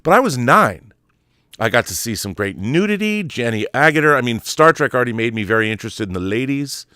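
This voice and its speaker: A man's voice: medium pitch, very animated, fairly quick, bright, forceful tone, clean tone, no room sound, studio quality. A middle-aged American man talking casually and opinionatedly, stammering and repeating words, often punching out emphatic rhetorical questions. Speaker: talk show host. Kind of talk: casual opinionated monologue about films and society.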